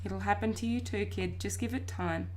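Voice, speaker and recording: A woman's voice: mid-range voice, moderate pace, darkish tone, lightly acted. A woman voices a talking household appliance from a children's story. It is world-weary and knowing, and speaks in a resigned, matter-of-fact, discouraging way.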